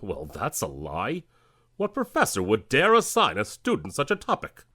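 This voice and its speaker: An actor playing a stern, calculating government director in an audio drama, clipped, deliberate and authoritative. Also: male voice, on the low side, wildly swooping pitch, sharp tone, slightly rough, no echo, studio quality, exaggerated voice. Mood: suspicious, commanding.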